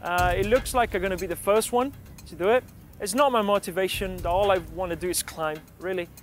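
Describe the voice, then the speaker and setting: Man in his thirties, mountaineer; relaxed, halting delivery with fillers, slightly high voice, music under. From born in Argentina, raised in Brazil. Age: 30s. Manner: relaxed, with many fillers and restarts. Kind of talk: documentary interview and voice-over.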